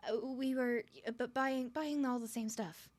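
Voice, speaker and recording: Woman acting as a shy smitten girlfriend type, higher-pitched, heavy stutter, flustered rambling, nervous, ASMR roleplay. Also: fairly even intonation, moderate pace, darkish tone, close to an everyday voice.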